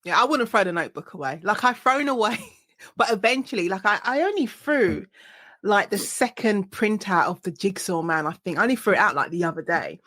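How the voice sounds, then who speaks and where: A woman's voice: expressive, brisk, fairly bright tone, no room sound. A woman hosting a casual interview, talking in a loose, rambling way with easy asides. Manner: conversational, rambling, acts out quotes in a low mock voice. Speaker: podcast host.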